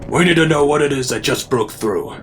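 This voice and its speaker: A man's voice: medium pitch, brisk, background noise, natural voice. A man playing a skeptical, authoritative admiral in a sci-fi audio drama, speaking formally. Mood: stern, skeptical. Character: skeptical commanding male military admiral.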